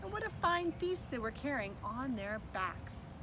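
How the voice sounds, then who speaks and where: Female children's story reader, lively swooping delivery, somewhat high voice, recorded at a distance with noise. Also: measured pace. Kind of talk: expressive read-aloud narration of a children's book. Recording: distant mic with clear background noise.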